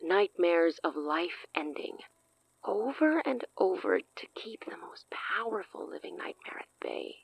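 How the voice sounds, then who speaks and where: An actress playing a grandiose, amused, long-lived sorceress in a horror audio drama, speaking eloquently and theatrically with hushed asides. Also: female voice, lowish voice, expressive, unhurried, vocal fry, slightly rough, no echo, exaggerated voice, low-quality recording. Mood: ominous, awed.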